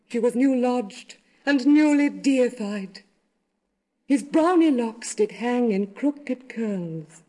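Jilted young maiden, sorrowful; classical verse drama, unhurried, lyrical delivery, soft dark tone. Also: female voice, fairly high pitch, rough recording. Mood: wistful, admiring. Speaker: actor reading a narrative poem.

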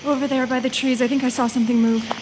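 A noisy live recording of a woman acting a young female camper. Her voice is fairly high, with a calm, wondering temper, in horror audio drama style. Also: racing, natural voice. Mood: alert.

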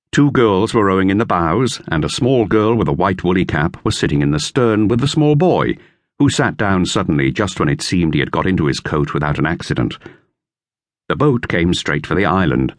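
A man reading a children's novel as an expressive audiobook narrator. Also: deep voice, no echo, clean studio sound.

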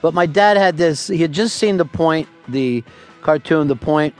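A man hosting a radio talk show, taking callers and telling absurd tall tales with a deadpan, profane delivery. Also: fairly high pitch, moderate pace, soft background music.

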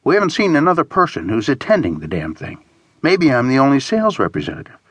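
A man's voice: medium pitch, huge pitch swings, fast, breathy edge, dry recording, pitched above the performer's own voice. A man voicing a grumpy, reluctant, sardonic traveler in audiobook dialogue. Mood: grumbling. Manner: grumbling, sardonic.

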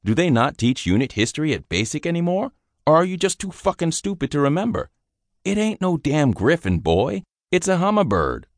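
A man voicing a gruff, foul-mouthed veteran army sergeant who drawls in slang. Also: low-pitched, very animated, moderate pace, no echo, studio quality, pitched above the performer's own voice. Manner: crude, swearing, drawling slang.

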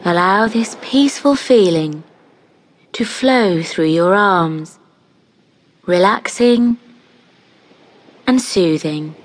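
A woman narrating a scripted guided meditation in a calm, soothing, unhurried manner, leaving long pauses between phrases. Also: mid-range voice.

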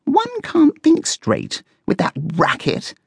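Very high, haughty guinea pig lady. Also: sing-song, normal speed, no echo, clean studio sound. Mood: irritated. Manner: haughty, posh, disdainful. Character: snooty, vain female guinea pig with regal airs.

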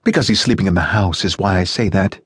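A man voicing a cheerful, shrill, high-pitched American housewife who gushes and chatters gaily in an audiobook. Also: fast, slightly rough, no room sound, clean studio sound, full character voice. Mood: gushing. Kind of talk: audiobook reading.

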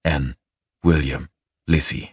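A man voicing a distraught gentleman, a commanding and urgent father and master of the house, in an audiobook. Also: rumbling low, fairly even intonation, slow, slightly rough, no echo, studio quality, full character voice. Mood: desperate calling. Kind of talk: audiobook character voice.

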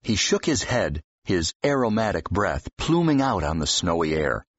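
A man with a deep, husky voice reading fiction expressively as an audiobook narrator. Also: very animated, normal speed, dry recording. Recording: dull, slightly muffled audio.

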